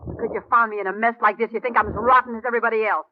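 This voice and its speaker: A woman's voice: fairly high pitch, fairly even intonation, very fast, natural voice. An actress playing an anxious, defensive, bitter young woman in trouble in a 1950s radio drama, with an intense, melodramatic delivery. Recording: tinny, noisy old radio recording with clicks.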